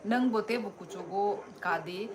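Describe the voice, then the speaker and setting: Slow-talking young woman streaming live. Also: mid-range voice. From Tripura, India. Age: young adult. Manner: unhurried, friendly, answers viewers by name. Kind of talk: casual live-stream chat reading viewer comments. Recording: live stream with steady background noise.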